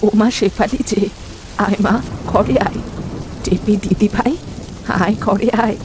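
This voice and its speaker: Lady doing an old cartoon granny, medium pitch, affectionate and welcoming, noisy rain behind. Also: fairly even intonation, a little slow, natural voice. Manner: affectionate, beckoning. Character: welcoming old village grandmother. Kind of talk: animated folk-tale cartoon dialogue.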